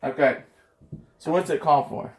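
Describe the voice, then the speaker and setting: A man's voice: on the high side, moderate pace. A man hosting a casual cooking video in a home kitchen, joking and chatty. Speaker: cooking vlog host.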